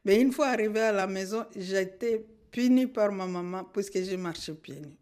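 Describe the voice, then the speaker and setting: A middle-aged woman from Congo, a Kinande speaker, answering in a radio interview in an earnest, measured way. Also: medium pitch, darkish tone. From Democratic Republic of the Congo. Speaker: social worker and guest.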